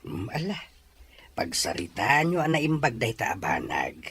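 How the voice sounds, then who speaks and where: A man acting a kindly, cautious older father in a radio drama, with a raspy, husky voice. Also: medium pitch, big pitch swings, normal speed, fairly bright tone, full character voice. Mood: gentle, concerned.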